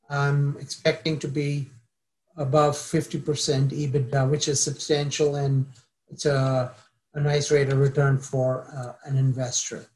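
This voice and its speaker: A man, a startup founder, pitching his company to investors, halting with many fillers and restarts. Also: darkish tone, rough recording.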